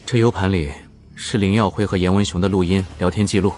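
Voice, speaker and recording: A man acting a guarded, curt man in a supernatural drama, with a low, husky voice. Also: steady tone, brisk, darkish tone, lightly acted. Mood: cool, controlled. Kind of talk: dramatized audio-drama acting with inner monologue.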